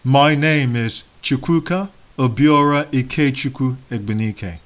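A man whose first language is Igbo, giving a sermon in slow, measured phrases with solemn pauses. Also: mid-range voice, a bit bright. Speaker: preacher.

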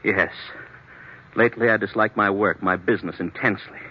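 A man's voice: on the low side, fairly even intonation, fairly quick, husky. An actor playing an anxious, troubled businessman in a 1940s radio drama, speaking hesitantly and earnestly on a dull old recording. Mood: guarded. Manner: hesitant, earnest, agitated when challenged. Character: troubled, anxious businessman suffering blackouts, confiding in a doctor.

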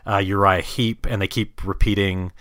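A man's voice: lowish voice, flat delivery, normal speed, a bit bright, no echo, studio quality. A man from New Zealand chatting casually about films as a podcast host, hesitant and rambling as he thinks aloud. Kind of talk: casual film discussion.

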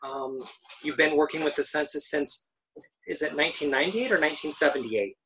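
A man's voice: a little high, big pitch swings, a little fast, vocal fry, a bit bright, slight background noise, rough recording. A man hosting a podcast interview, asking questions with frequent restarts and repeated words. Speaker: podcast host.

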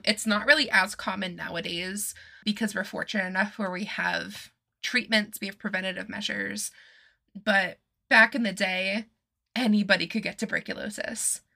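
A woman's voice: mid-range voice, gravelly, pushed and bright. A young woman from Massachusetts hosting a casual true-crime podcast, chatty and swearing freely, her voice still a bit nasal and strained from being sick. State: slightly nasal and strained from illness. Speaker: podcast host.

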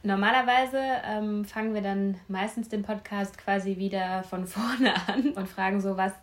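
A young adult woman hosting a podcast, asking her questions in a relaxed conversational style. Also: medium pitch, normal speed. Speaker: podcast host, interviewer. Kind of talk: casual conversational interview.

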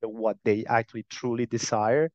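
A man's voice: mid-range voice, little pitch movement, moderate pace, a little mellow, dry recording, lo-fi sound. A man with a strong Spanish accent and Spanish as his first language, explaining earnestly as an interview guest, often repeating words as he goes. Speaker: guest, breathwork and somatic practitioner.

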